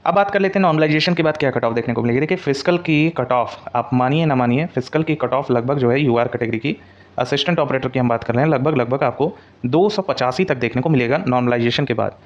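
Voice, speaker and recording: Man, quick pace, coaching presenter, explanatory, English words mixed in, dull lo-fi sound. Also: medium pitch. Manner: mixes English words into Hindi, repeats points for emphasis. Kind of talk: informal explanatory exam update. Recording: dull, lo-fi audio.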